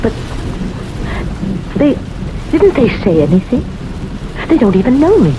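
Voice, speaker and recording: An actress playing a timid, passive older woman who speaks haltingly and anxiously, with flashes of defiance, in an old-time radio drama. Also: fairly high pitch, sing-song, dull audio, noisy background. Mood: hesitant, meek. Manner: hesitant, halting, repeating her words.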